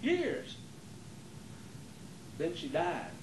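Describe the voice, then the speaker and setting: A man's voice: on the high side, wildly swooping pitch, extremely slow, heavily acted. A man playing a troubled, loyal nephew who defends his uncle, in an amateur stage play. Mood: troubled, indignant. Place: theater during a rehearsal. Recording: roomy, noisy 1980s theater rehearsal tape.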